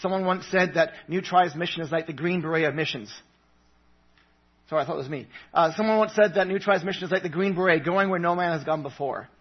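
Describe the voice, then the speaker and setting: A man from Papua New Guinea gives an earnest, chatty sermon as a visiting missionary, stumbling through fillers and restarts. His voice sits a little high and sounds husky. The church recording is muffled and lo-fi. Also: fast talker.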